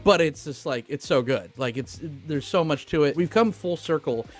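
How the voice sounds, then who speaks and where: A man with a fairly high voice hosts a casual film podcast. He talks informally, swearing freely, with animated delivery, and music plays behind him. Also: normal speed. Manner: animated, informal retelling with acted-out asides. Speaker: podcast host. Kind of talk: casual film discussion, profane.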